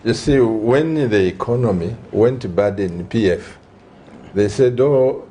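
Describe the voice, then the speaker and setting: Slow, mellow man hosting. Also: fairly low pitch, animated, a little hiss or hum. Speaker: host, church leader. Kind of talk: conversational interview.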